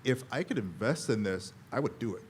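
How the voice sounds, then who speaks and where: Deep-voiced man rambling on a panel. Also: animated, a little fast, a little hiss or hum. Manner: rambling, quotes himself. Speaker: actor and panel guest. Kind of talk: casual panel talk. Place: convention panel room.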